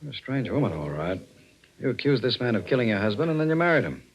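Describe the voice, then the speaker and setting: An actor playing a skeptical, gruff police detective, dismissive and matter-of-fact, in a 1940s radio mystery. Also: male voice, medium pitch, big pitch swings, fast talker, low-quality recording.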